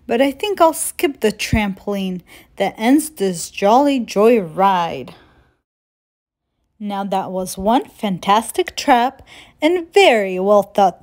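A magical snowman from a picture book, voiced by a woman, teases in singsong rhyme. The delivery is unhurried, expressive and full of playful confidence, at a middle pitch.

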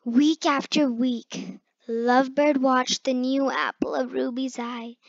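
A woman reads a children's storybook aloud in a fairly high voice. She goes slowly and haltingly, and the recording sounds somewhat muffled. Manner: halting, stumbles over hard words. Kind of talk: reading a children's picture book aloud. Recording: muffled, echoey room recording.

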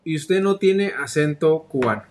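A man's voice: a little high, expressive, moderate pace, darkish tone. Upbeat man host at a studio mic. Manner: friendly, encouraging, chatty. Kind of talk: interview over video call. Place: small room with grey wall. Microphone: large studio mic on arm.

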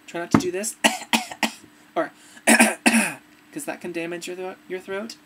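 A young woman with a fairly deep voice giving earnest, relaxed advice to her webcam in a dim room. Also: slow, slight background noise. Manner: earnest, relaxed, demonstrating as she talks. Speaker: vlogger. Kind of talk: casual advice vlog.

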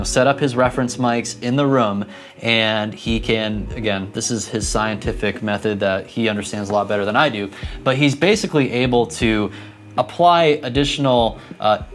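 A man in his thirties giving a conversational, practical tutorial to camera, speaking into a lapel mic. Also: on the low side. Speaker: church audio instructor. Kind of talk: explanatory tutorial talk to camera. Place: indoors, equipment room and church hall. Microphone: clip-on lapel mic.

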